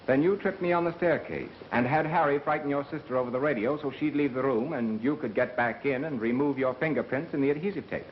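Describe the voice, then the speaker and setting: An actor with an American accent playing a calm, methodical engineer turned sleuth in a 1930s film, measured and wry. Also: male voice, medium pitch, lightly acted. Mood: probing, accusing. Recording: muffled, hissy 1930s film soundtrack.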